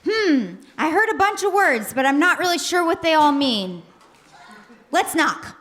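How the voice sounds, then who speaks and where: A woman's voice: sits high, sing-song, unhurried, fairly bright tone, full character voice. A woman playing a chirpy, gung-ho patriotic housewife heroine in a comedic live radio play, with exaggerated, perky delivery. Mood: cheerful. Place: small comedy theater, performed live. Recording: live theater stage, some background noise.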